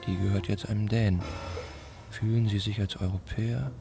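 Gravelly, laconic villager. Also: male voice, on the low side, steady tone, brisk, music in the background. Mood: laconic, dry. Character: dry, laconic villager describing small-town life. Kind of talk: scripted radio play monologue.

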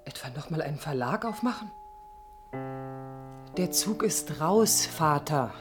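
An actress playing an outspoken, wounded adult daughter in a radio play, with a husky voice and a pointed, challenging way of talking. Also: female voice, deep voice, expressive, measured pace, music in the background. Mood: sceptical, curt. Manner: pointed, reproachful.

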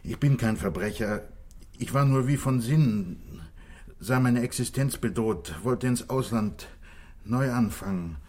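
A man's voice: medium pitch, measured pace, darkish tone, lightly acted. A man acting as a remorseful, desperate fugitive making a confession in a German radio crime drama, earnest and pleading.

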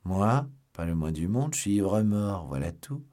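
A man's voice: low-pitched, big pitch swings, normal speed, dark tone. A man voicing a gloomy, drunken, world-weary swordsman with a terse, blunt manner. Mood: weary, bleak.

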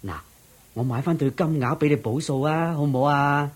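A man's voice: on the high side, moderate pace, natural voice. A man playing an exasperated, henpecked merchant in a radio drama, pleading and placating in Hong Kong Cantonese. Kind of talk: theatrical radio drama acting.

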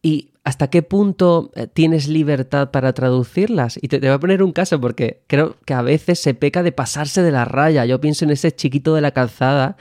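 A man from Andalusia, Spain, hosting a podcast, asking lively questions in casual conversation. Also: on the high side, moderate pace, few pauses, dry recording, studio quality. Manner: inquisitive, lively, asks questions. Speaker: podcast host, philologist.

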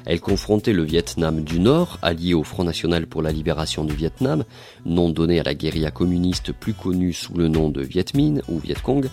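A man narrating a documentary in a measured, informative way and asking interview questions. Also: low voice, animated, warm tone, soft background music.

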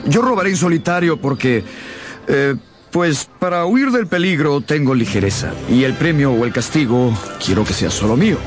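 A man's voice: fairly high pitch, animated, normal speed, lightly acted. An actor playing an earnest, courteous, ardent young man in love in a radio drama. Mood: earnest, persuasive. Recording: music behind the voice.

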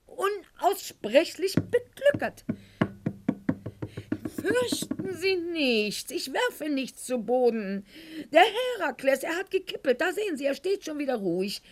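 A woman's voice: high-pitched, big pitch swings, takes it slowly, noisy background, heavily acted. An actress playing a proud, witty, wounded aristocratic lady in a theatrical radio drama monologue, articulate and ironic. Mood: agitated, flustered. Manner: articulate, haughty, ironic, literary phrasing.

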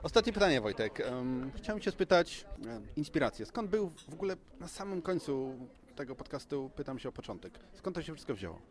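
A man's voice: on the high side, big pitch swings, fairly quick, hardly any pauses. A man co-hosting a podcast, asking casual questions with teasing, tongue-in-cheek banter. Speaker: podcast co-host, interviewer.

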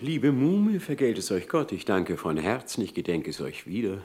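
An actor playing a sly, self-assured, courteous fox in a classic radio play, speaking verse suavely. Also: male voice, fairly low pitch, big pitch swings, normal speed, darkish tone. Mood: smugly grateful.

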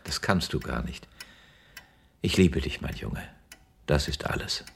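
An actor playing a gentle, worried old father in a radio drama, with a husky, gravelly voice. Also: rumbling low, a little slow, full character voice. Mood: resigned, tender. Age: older. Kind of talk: German radio drama acting.

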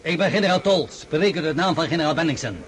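Radio play officer: indignant general, fast-talking, raised pitch, formal and insistent. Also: male voice, expressive, a bit bright, a little hiss or hum. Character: indignant, hurried male army general.

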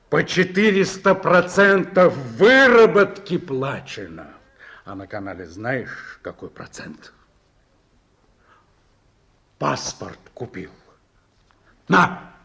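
A man's voice: medium pitch, lively intonation, takes it slowly, pauses often, fairly bright tone. An actor playing a weary, proud reformed ex-convict man in a Soviet radio drama, speaking tersely. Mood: insistent, proud.

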